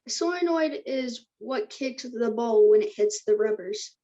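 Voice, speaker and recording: A woman acts out an easygoing, generous teenage boy in a screenplay table read. The voice sits a bit high, with casual dialogue delivery. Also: expressive, measured pace, dark tone, dull audio.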